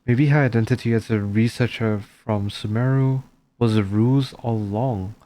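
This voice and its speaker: A man's voice: lowish voice, steady tone, moderate pace, mellow. A man commentating casually on a game playthrough, reading out text and adding informal, reactive asides. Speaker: game streamer.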